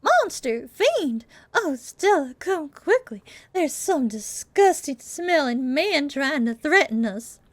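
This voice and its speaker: A woman voicing an excitable, theatrical female pet dog in a game, in a shrill, alarmed way. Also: slow, few pauses, dry recording, exaggerated voice. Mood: frantic, outraged.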